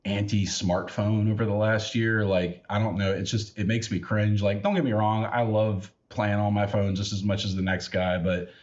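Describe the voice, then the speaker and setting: A man's voice: fairly low pitch, little pitch movement, normal speed, hardly any pauses, darkish tone, highs cut off. An American man hosting a podcast, chatting casually with lots of fillers and easy laughter. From United States. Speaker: podcast host.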